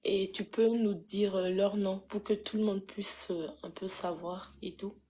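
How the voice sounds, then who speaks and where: Slow, teasing female interviewer. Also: medium pitch, fairly even intonation, mellow, slight background noise. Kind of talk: interview questions.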